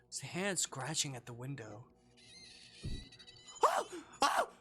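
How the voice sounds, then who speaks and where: A game streamer with a bright, mid-pitched voice of ambiguous gender reads a visual novel aloud and comments on it. The delivery is dramatic and sweary, with very lively intonation. Music plays faintly behind the voice. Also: slow pace. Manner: expressive, sweary, dramatic reactions. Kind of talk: livestream gameplay commentary mixed with read-aloud narration.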